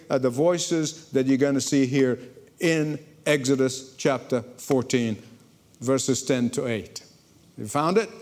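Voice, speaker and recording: A man from Egypt, preaching a sermon to a congregation in lively storytelling, often repeating words as he starts a sentence. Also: on the high side. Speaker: pastor. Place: church before a congregation.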